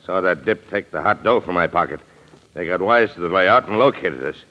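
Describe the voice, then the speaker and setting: An actor playing a cold-blooded, commanding gangster boss in clipped slang in an old-time radio crime drama. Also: male voice, low-pitched, animated, brisk. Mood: cold, scheming. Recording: dull, lo-fi old radio recording.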